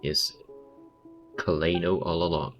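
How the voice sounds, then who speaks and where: A low-pitched man streams a game with casual, chatty commentary. His talk is slangy and sweary, with some music behind him. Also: level tone, unhurried.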